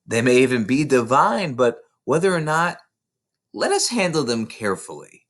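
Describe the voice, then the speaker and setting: Man voicing a careful, patient lady schoolteacher, animated. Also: mid-range voice, pitched above the performer's own voice. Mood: cautioning. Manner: measured, cautioning, explanatory. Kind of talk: children's storybook read aloud.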